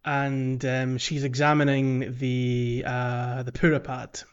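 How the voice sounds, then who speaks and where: A man's voice: medium pitch, completely flat delivery, clean tone. Hesitant man, slightly muffled recording. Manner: hesitant, halting, searching for words. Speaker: podcast co-host. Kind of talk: casual video game discussion.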